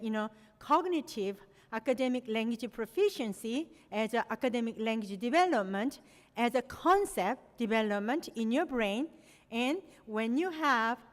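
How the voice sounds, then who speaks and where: A middle-aged woman from Korea, a native Korean speaker, giving an academic presentation with non-native phrasing and frequent "you know" fillers. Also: a little high. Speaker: lecturer. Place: lecture hall. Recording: long hall reverb.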